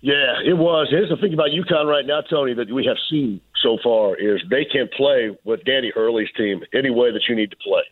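A man analysing basketball as a guest on a sports radio show, emphatic and repetitive, his voice a little hoarse. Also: medium pitch, a little fast, hardly any pauses, dry recording. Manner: emphatic, repeats key points. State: losing his voice, slightly hoarse. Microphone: phone line. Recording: tinny phone line.

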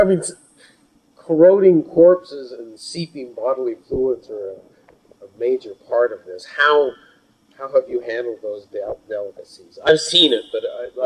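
A man interviewing informally, haltingly searching for his words, with a husky voice. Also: mid-range voice. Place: hangar used as an office. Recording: dull lo-fi interview tape.

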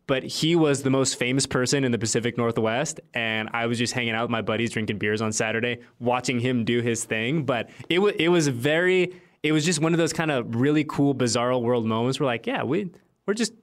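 A man's voice: medium pitch, brisk. A young American man hosting a sports podcast, chatting casually in informal, slangy language. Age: late twenties to thirties. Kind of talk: casual interview chat.

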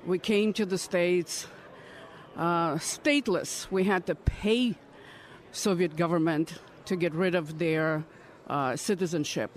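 A woman's voice: fairly low pitch, takes it slowly. Earnest woman in her fifties. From Ukraine. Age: 50s. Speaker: congressional candidate. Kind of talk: interview answers. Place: political conference.

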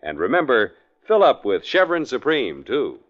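A male announcer with a smooth, salesy delivery. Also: mid-range voice, wildly swooping pitch, normal speed. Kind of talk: radio announcing. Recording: muffled 1940s radio broadcast with music.

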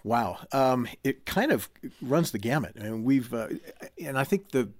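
Mid-pitched man, very dry sound. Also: animated, moderate pace, darkish tone, no echo. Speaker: panel guest from an audio drama team. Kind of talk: measured explanatory talk.

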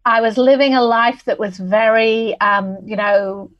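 A woman's voice: a little high, fairly even intonation, unhurried, highs cut off. A woman in her forties from England with a Manchester accent, talking candidly and reflectively as an interview guest. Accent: Mancunian English. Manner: candid, reflective, laughs easily. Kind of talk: conversational interview.

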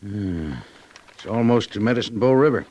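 Actor as skeptical, low-voiced American army major. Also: male voice, moderate pace, darkish tone, lightly acted. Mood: probing. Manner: dry, questioning, authoritative. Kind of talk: old-time radio drama acting. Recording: dull vintage radio recording.

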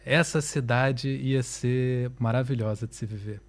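A Brazilian man from Rio de Janeiro with a softened Carioca accent, a musician chatting as a guest and laughing easily. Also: medium pitch, fairly even intonation, measured pace. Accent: softened Carioca Brazilian Portuguese. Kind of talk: relaxed interview chat.

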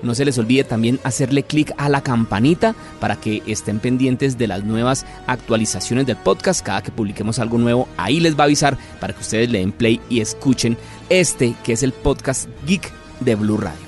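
A man's voice: medium pitch, moderate pace, a little mellow, soft background music. A man hosting a conversational podcast interview, chatty and politely formal in his address.

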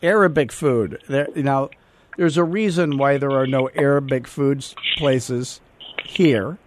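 A man's voice: medium pitch, a little slow, noisy background. A man hosting a podcast, with brash, teasing banter. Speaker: podcast host. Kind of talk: joking radio-style chat.

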